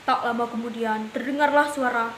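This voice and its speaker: A woman's voice: fairly high pitch, steady tone, normal speed. A woman narrating an animal fable for children as a storyteller. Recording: echoey room, faint hiss.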